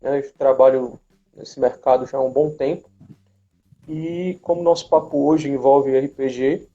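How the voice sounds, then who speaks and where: A man's voice: medium pitch, fairly even intonation, measured pace, warm tone. A man, hosting a casual live chat in an informal, hesitant way on lo-fi audio. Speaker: host, business administrator. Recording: dull lo-fi live stream audio.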